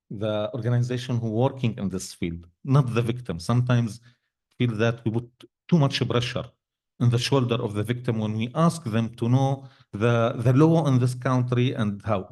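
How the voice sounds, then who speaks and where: Panel speaker, male, non-native English, halting and searching for words, dark mellow tone. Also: mid-range voice. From Syria. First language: Arabic. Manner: halting, many restarts and fillers, non-native grammar. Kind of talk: panel discussion answer. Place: event hall.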